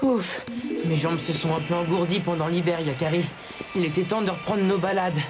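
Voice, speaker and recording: A man's voice: sits high, steady tone, normal speed, over loud music, lightly acted. A man playing a loyal, protective young pony in a cartoon, plain-spoken and doubtful. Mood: cheerful, then wary. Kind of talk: cartoon voice acting.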